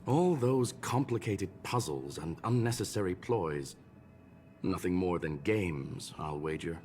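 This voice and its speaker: A deep, urbane scholarly gentleman. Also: animated, faint music behind. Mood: courteous, calm. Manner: formal, measured, polite. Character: urbane, courteous scholarly gentleman, calm and calculating. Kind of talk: video game voice acting.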